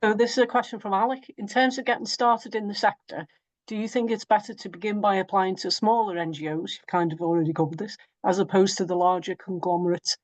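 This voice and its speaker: A woman's voice: mid-range voice, steady tone, fast talker, no echo. A woman moderating a webinar, reading out audience questions in a friendly, encouraging way. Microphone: video call. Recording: dull lo-fi call audio.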